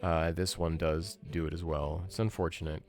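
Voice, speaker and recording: A man's voice: deep voice, level tone, normal speed, darkish tone. A man in his thirties giving casual playthrough commentary as a channel host, thinking aloud as he plays. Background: game music.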